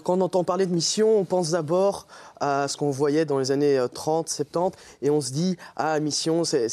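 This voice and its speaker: A man's voice: a little high, mellow. A young man with a Belgian French accent, earnestly explaining as a guest in a TV interview. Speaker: student group member, guest. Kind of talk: TV interview answers.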